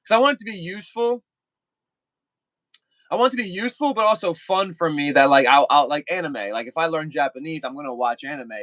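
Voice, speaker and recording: A man's voice: on the high side, bright, forceful tone, dry recording. A young man chatting casually with viewers on a livestream, rambling and repeating himself with lots of fillers.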